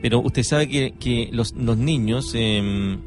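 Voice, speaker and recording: A man's voice: medium pitch, takes it slowly, over music. A man hosting a conversational radio talk show, speaking emphatically.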